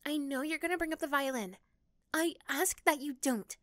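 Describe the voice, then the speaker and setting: A woman voicing a young, caring but easily flustered girl next door in an ASMR roleplay, with an emotional, halting delivery. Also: sits high, a bit bright, dry recording, clean studio sound. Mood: defensive, upset.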